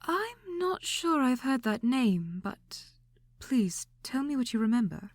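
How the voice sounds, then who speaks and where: A woman's voice: high-pitched, big pitch swings, slow, no echo, studio quality, full character voice. A woman playing a regal, courteous, centuries-old vampire queen in an audio drama, speaking in a formal, genteel and teasing way. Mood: gracious. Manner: genteel, formal, uses endearments like dear. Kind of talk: scripted audio drama acting.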